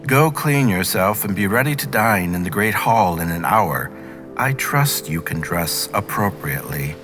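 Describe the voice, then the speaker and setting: A man's voice: sits low, animated, a little slow, music in the background, pitched above the performer's own voice. A man voicing a greedy, haughty fisherman's wife in a storybook, imperious and entitled. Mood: curt, haughty.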